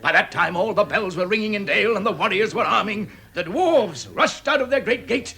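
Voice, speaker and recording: An actor playing a proud, grave dwarf leader, grand and declamatory in a vintage radio drama. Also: male voice, high-pitched, expressive, brisk, bright, forceful tone, exaggerated voice. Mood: grave, dramatic. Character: proud, grave dwarf leader telling his lost history. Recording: vintage 1960s radio recording.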